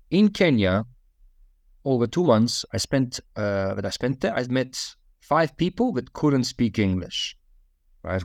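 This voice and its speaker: A man, a podcast guest, answering interview questions in a relaxed, storytelling way with frequent fillers. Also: medium pitch, no room sound, clean studio sound.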